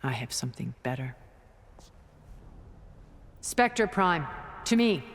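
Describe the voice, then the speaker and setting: A woman playing a commanding, possessive, centuries-old woman in a dramatic video game cutscene, speaking deliberately and imperiously. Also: sits low, very animated, over loud music, exaggerated voice. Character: commanding, possessive thousand-year-old woman, coldly obsessed with lost love.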